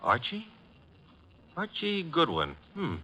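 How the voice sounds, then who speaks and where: An American actor playing a glib, flirtatious private detective's assistant in a 1950s radio drama, with a teasing, wry delivery. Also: male voice, on the high side, wildly swooping pitch, slow pace, sharp tone, a little hiss or hum, exaggerated voice.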